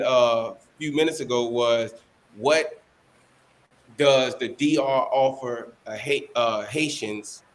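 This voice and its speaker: A man who is a guest in a casual interview, answering in an easygoing, hedging way with many fillers. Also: mid-range voice. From Dominican, born in the United States.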